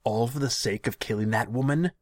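Man doing a cruel young khan, storybook villain, hot-tempered, raised pitch, rough edge, commanding. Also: fairly even intonation, a little slow, dry recording. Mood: outraged. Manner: loud, indignant, imperious.

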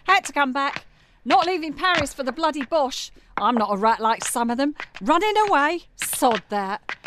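A woman's voice: sits high, lively intonation, normal speed, background noise. A woman playing a feisty young street singer, spirited and defiant. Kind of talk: radio drama acting.

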